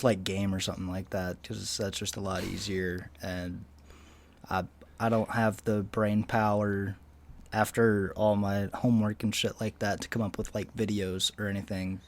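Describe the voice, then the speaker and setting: A man's voice: on the low side, a little mellow. A young man co-hosting a casual podcast, talking in a hesitant, easygoing way. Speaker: podcast co-host. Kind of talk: casual chat.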